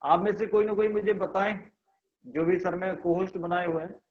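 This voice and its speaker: A man's voice: high-pitched, steady tone, normal speed, muffled recording. A man running a motivational business training on a video call, repeating his points with emphasis and putting questions to the audience.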